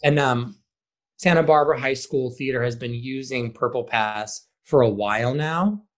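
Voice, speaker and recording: A man's voice: medium pitch, normal speed. Emphatic man chatting in an interview. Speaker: high school theater director, interview guest. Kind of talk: conversational interview answers.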